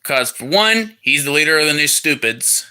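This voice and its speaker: A man's voice: on the high side, lively intonation, normal speed, bright, forceful tone, smooth. A man speaking as a plush toy character on a livestream, rambling through crude banter with frequent restarts. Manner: rambling, stumbles and restarts mid-sentence.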